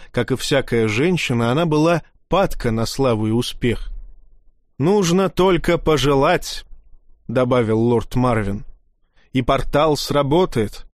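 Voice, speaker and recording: A man reading a humorous fantasy tale aloud as an audiobook narrator, lively in his storytelling. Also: fairly high pitch, dry recording, clean studio sound.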